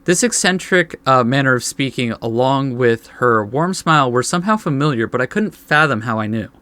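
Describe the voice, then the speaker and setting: A man's voice: medium pitch, animated, normal speed, pushed and bright, no room sound. A man reading game text aloud as a let's play host, adding laid-back asides.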